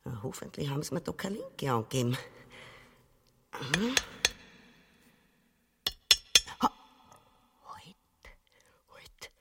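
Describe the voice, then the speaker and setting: An actress playing a greedy, crude, scheming old queen in a radio play, husky, muttering, with a Bavarian-Austrian accent. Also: low-pitched, very animated, measured pace, very noisy, full character voice. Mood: greedy excitement. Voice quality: husky, breathy. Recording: long echoing reverb.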